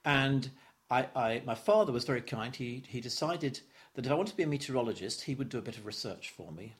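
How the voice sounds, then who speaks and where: A man's voice: mid-range voice, animated, a little fast. An older man in his seventies, from London, looks back on his early years in an oral history interview. He talks in a reflective, stop-start way, tripping over repeated words and chuckling as memories surface. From London, England. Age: 70s.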